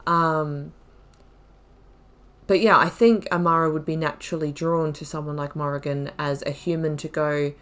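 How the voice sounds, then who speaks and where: A woman's voice: sits low, steady tone, moderate pace, fairly bright tone. A woman hosting a gaming video, giving casual, reflective playthrough commentary as she thinks aloud. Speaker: gaming video host playing a role-playing game.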